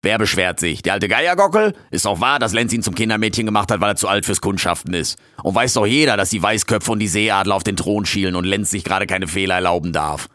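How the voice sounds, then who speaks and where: A man's voice: on the low side, lively intonation, fast talker, few pauses, pushed and bright, dry recording, studio quality. A man voicing a cheeky male raccoon intern who grumbles in street slang. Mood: defiant.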